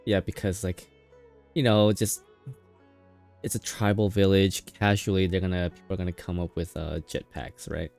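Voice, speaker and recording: A man's voice: low voice, little pitch movement, moderate pace, gravelly, darkish tone. A man livestreaming a game in casual chat, sounding tired, rambling and playfully teasing. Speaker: game streamer. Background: game music.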